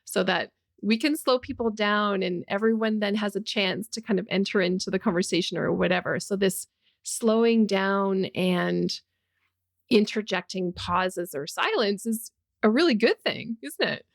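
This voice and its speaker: A woman's voice: medium pitch, moderate pace, gravelly. A woman hosting a podcast interview, chatting easily and asking follow-up questions. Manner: friendly, asks follow-up questions, tag questions. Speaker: podcast host, facilitator. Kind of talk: conversational interview.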